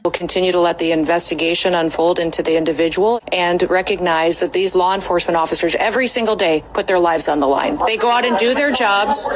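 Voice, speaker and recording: A woman's voice: lowish voice, fast talker, hardly any pauses. Firm spokeswoman in a noisy briefing clip. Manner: firm, scripted defense of officers. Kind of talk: official press briefing. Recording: played clip with crowd noise.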